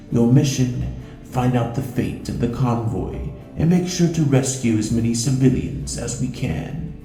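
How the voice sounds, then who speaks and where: Man playing a very mellow, grave drow. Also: mid-range voice, natural voice. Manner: formal, explanatory. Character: grave, official male drow quest-giver. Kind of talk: fantasy audio drama briefing. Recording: roomy echo, faint music behind.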